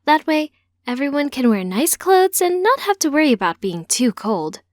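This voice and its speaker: A woman's voice: high-pitched, very animated, normal speed, no echo, studio quality, voice pushed up. A woman voicing an earnest, slightly sheepish grown daughter in an audiobook story. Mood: happy. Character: earnest young transgender woman, a teacher, confiding in mother.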